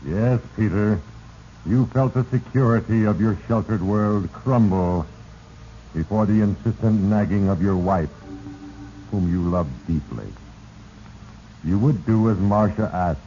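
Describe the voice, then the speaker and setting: Man playing sinister low-voiced Fate, radio narrator. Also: unhurried, long pauses, mellow, close to an everyday voice. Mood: ominous. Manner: ominous, portentous second-person address. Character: sinister heavy-handed male Fate, narrator manipulating doomed men. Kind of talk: 1940s radio drama narration. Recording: muffled, noisy 1940s radio recording.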